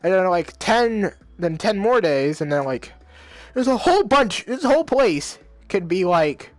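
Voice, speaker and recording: A man's voice: sits high, sing-song, measured pace, dry recording. Man reading game lines, rambling, dry sound. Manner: reads game dialogue in his own voice, rambling asides; casual slang, many fillers. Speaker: gamer reading a visual novel aloud. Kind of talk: let's play reading and commentary.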